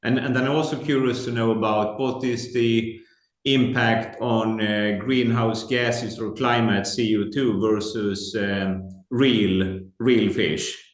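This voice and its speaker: A man on a judging panel asking a startup founder questions, halting and correcting himself as he goes. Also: on the low side, steady tone. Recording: echoey room.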